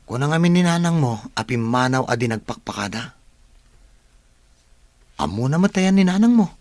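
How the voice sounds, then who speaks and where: A man's voice: mid-range voice, lively intonation, normal speed, darkish tone, dry recording, lightly acted. An actor playing a grave, worried Ilocano man confiding in his brother in a radio drama.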